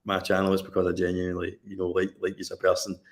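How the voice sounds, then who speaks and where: A man hosting a casual chat with a friend, chummy and loose, calling him mate. His voice sits fairly low. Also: completely flat delivery, rapid-fire. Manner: chummy, calls guest mate. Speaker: YouTube show host.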